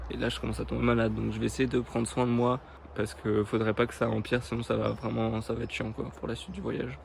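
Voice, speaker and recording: Young man with a cold, flat-voiced vlog talk. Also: mid-range voice, fast, gravelly, a little hiss or hum. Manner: chatty, tired, says "les gars" to viewers. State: sick with a cold, sore throat. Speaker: touring cyclist vlogger. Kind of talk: casual vlog talk to camera. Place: outdoors on the road. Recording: loud music over the talk. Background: loud background music.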